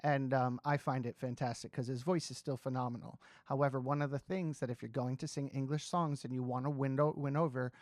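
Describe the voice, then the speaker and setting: A man's voice: medium pitch, fairly even intonation, fairly quick, hardly any pauses, darkish tone, no room sound, studio quality. A man with a standard American accent giving explanatory reaction commentary as a vocal coach, often restarting and rephrasing his sentences. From United States, raised in New Jersey.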